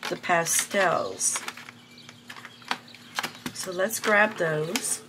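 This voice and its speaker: A middle-aged woman, hoarse and a little raspy from illness, rambling casually through an art supply review with many fillers and restarts. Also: lowish voice, very slow, background noise. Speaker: art channel host.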